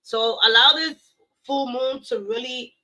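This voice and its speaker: Woman tarot reader, casual, playful and blunt, bright voice. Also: high-pitched, poor audio. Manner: playful, blunt, swears, addresses viewers as y'all; slows down to recite affirmations. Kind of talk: casual tarot reading monologue.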